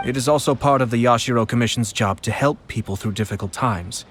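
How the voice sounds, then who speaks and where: A young man voicing a calm, courteous nobleman in a game, speaking in a smooth, measured, polite way. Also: mid-range voice, slight background noise, lightly acted. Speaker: noble clan head. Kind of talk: game voice acting. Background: music.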